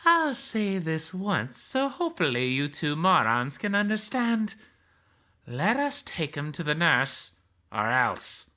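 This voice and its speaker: Man playing a high-pitched, taunting hyena fighter. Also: huge pitch swings, slow pace, bright, forceful tone, exaggerated voice. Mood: threatening. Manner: taunting, theatrical, sarcastic. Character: cocky, mocking, sultry hyena fighter, playful and menacing.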